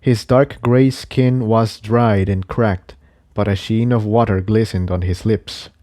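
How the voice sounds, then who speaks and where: A man's voice: lowish voice, fairly even intonation, slow pace, dark tone. A man narrating an adventure story from a script. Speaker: narrator reading fan fiction.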